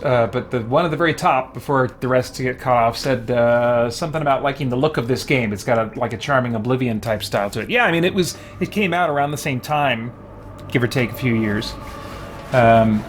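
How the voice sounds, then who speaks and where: A man live-streaming a game, talking casually and haltingly as he thinks aloud and reads out viewer comments. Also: fairly high pitch, slight background noise.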